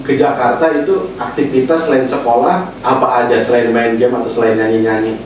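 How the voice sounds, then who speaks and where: A man's voice: medium pitch, steady tone, brisk, a little mellow. Male host, friendly and affirming, distant mic, very echoey room. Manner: friendly prompting, frequent laughs and affirmations. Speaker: interviewer host. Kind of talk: casual interview chat. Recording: roomy echo from far off, faint noise.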